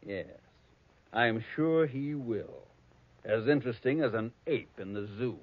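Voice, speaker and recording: An actor playing a prim, conniving, avaricious heir, speaking formally and precisely in 1940s radio style. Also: male voice, mid-range voice, expressive, measured pace, heavy background noise, close to an everyday voice. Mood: precise, superior. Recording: thin, phone-like old radio recording.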